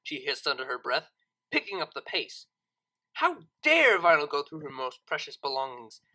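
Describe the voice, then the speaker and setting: Female audiobook narrator, dramatic storytelling, forceful bright tone and very expressive intonation. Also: low voice, moderate pace, rough recording.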